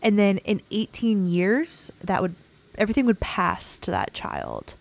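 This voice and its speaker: A woman hosting a relaxed gameplay video, her voice scratchy and croaky from a cold, chatting in a laid-back, self-deprecating way. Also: mid-range voice, measured pace. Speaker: gaming channel host. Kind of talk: casual gameplay commentary.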